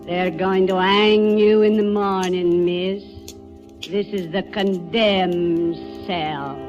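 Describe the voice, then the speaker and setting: An actress playing a blunt, grim prison wardress in an old-time radio drama. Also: female voice, medium pitch, fairly even intonation, natural voice. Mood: grim, exasperated. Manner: slow, stark, blunt. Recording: muffled old radio recording with music.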